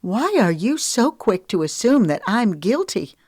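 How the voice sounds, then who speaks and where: An earnest young woman whose voice climbs higher and louder than the narration, as a woman narrator puts her on. It stays dry and close, with no room sound. It is audiobook dialogue. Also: sing-song, measured pace, no echo. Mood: pleading. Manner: raised, urgent voice.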